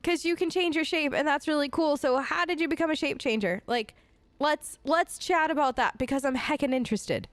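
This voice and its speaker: A woman's voice: high voice, fairly quick, no echo. A woman improvising casual in-character chat for a role-play let's play, informal and slangy. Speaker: gamer role-playing her elf character.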